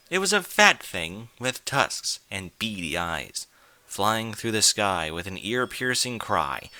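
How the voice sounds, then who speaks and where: A man's voice: medium pitch, bright, forceful tone, no echo. A man narrating a scripted story in a dramatic, theatrical and emphatic manner. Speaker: narrator, reader of fan fiction.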